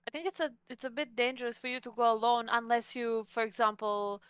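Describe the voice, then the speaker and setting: A woman's voice: on the high side, brisk, a bit bright, clean tone, no echo. A woman playing a tabletop roleplaying game, talking casually through plans with the group. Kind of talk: casual in-game planning chat.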